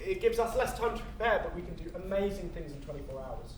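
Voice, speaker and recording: A man's voice: high-pitched, lively intonation, fast talker. A man acting a guilt-ridden, lovelorn afterlife guide in a stage play, formal and hesitant. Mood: apologetic, guarded. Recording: echoey stage, distant mic, faint noise.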